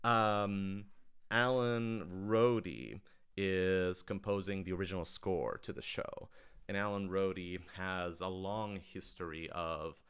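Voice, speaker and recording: A man with a lowish, smooth and resonant voice, chatting through audience questions on a livestream. He explains things casually and with enthusiasm, feeling his way through each answer. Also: a bit bright. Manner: enthusiastic, explanatory, conversational with frequent hedging.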